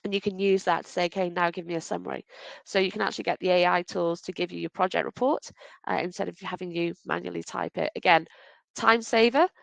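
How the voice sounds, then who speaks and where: A woman's voice: fairly low pitch, steady tone, fast talker, gravelly, no echo, rough recording. A woman from Wales with a faint Welsh accent, presenting a webinar over a video call in a conversational, practical way. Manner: conversational, practical, self-correcting.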